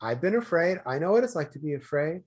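A middle-aged man from Boston with a Boston accent, a guest talking earnestly in a casual interview and growing loud when animated. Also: on the high side, fast, husky.

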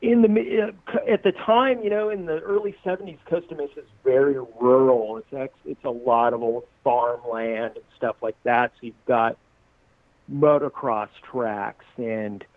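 A man's voice: medium pitch, fairly even intonation, normal speed, dry recording. Brief: forties man from Southern California, musician guest, loose and joking, phone-thin and dry. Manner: rambling and jokey, laughs often. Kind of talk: casual podcast interview. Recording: tinny, dry phone-like line.

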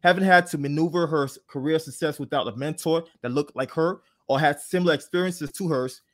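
A man's voice: a little high, a little fast. A man hosting a podcast, introducing a guest and asking questions in an upbeat, enthusiastic way. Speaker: podcast host.

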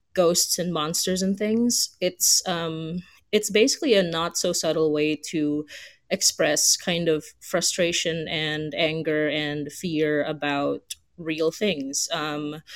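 A woman's voice: sits low, no echo. A woman from the Philippines speaking casually as a writer on a panel, informal and thinking aloud. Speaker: panel guest, audio drama writer.

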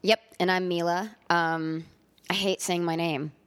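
A woman's voice: fairly low pitch, level tone, unhurried, fairly bright tone, smooth. A young woman with a slight lisp, co-hosting a casual podcast in a dry, self-deprecating way. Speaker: podcast co-host. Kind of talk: casual chat.

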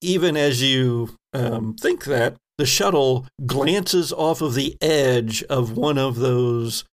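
A man narrating a tabletop game as its game master, telling the scene in a halting, descriptive way. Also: medium pitch.

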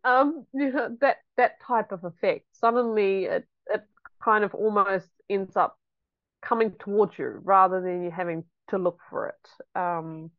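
A woman's voice: medium pitch, unhurried, dry recording, lo-fi sound. A woman, a homeschooling mother chatting reflectively in an interview with frequent ums. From New Zealand. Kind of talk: casual interview chat.

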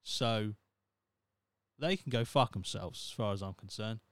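A man's voice: on the low side, unhurried, no room sound. Sweary man, dry close podcast voice. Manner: rambling, sweary, wry and self-mocking. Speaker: podcast host. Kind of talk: casual solo podcast monologue.

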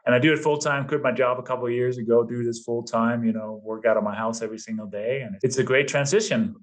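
A man in his fifties with a slight Danish accent, appearing as a podcast guest. He tells his story in an easygoing way at a mid pitch, using plenty of fillers. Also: steady tone, fast. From Denmark. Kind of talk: casual interview chat.

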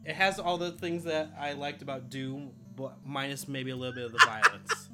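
A man's voice: medium pitch, little pitch movement, normal speed, over loud music. A man in his thirties, a podcast co-host, talking films casually and jokingly with some swearing. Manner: jokey, laughs often, swears casually. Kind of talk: casual film countdown chat.

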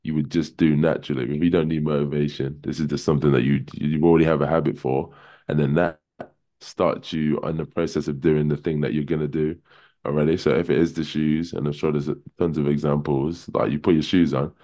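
A man with a deep voice, a podcast guest talking in a relaxed, rambling way full of fillers. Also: brisk. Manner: relaxed, rambling, many "kinda" and "you know". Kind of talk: casual podcast chat.

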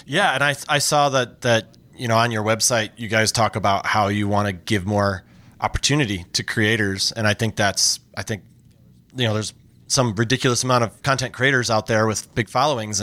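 A man's voice: fairly low pitch, fairly even intonation, a little fast, pushed and bright. A man hosting an interview, asking questions in a friendly way with brief acknowledgments and a few restarts. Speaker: podcast host, interviewer. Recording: dry close sound.

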